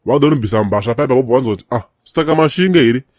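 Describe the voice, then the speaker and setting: A man's voice: mid-range voice, racing, a little mellow, no room sound, clean studio sound, natural voice. A man with a Zimbabwean accent voicing a streetwise young man in a comedy cartoon, bantering in slang mixed with English phrases. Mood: chatty, opinionated.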